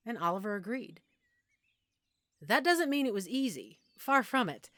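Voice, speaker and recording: A woman acts out a middle-aged female werewolf alpha, patient and sure of herself. Her mid-pitched voice explains things in an intimate, conversational audio roleplay style, leaving measured pauses. The sound is dry, with very little room in it. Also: fairly bright tone, no room sound, natural voice. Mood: candid, proud.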